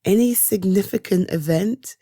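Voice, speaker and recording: A British woman performing an ASMR roleplay as a caring partner-like confidante, speaking softly and hesitantly with reassurance. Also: mid-range voice, dry recording, clean studio sound, close to an everyday voice. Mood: gentle reassurance. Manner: hesitant, soft reassuring, halting pauses. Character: caring, reassuring woman comforting a loved one. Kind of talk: ASMR roleplay, one-sided intimate conversation.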